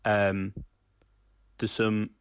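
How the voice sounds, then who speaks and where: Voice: medium pitch, level tone, drawn-out words, clear, resonant tone, dry recording. A podcast host chatting casually, feeling out phrases in a conversational way. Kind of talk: casual chat.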